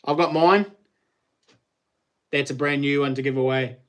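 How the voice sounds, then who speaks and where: A man's voice: mid-range voice, fairly even intonation, normal speed. A middle-aged man with a New Zealand accent, hosting a casual livestream and chatting with viewers in a rambling, slangy way with frequent laughs. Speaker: livestream host.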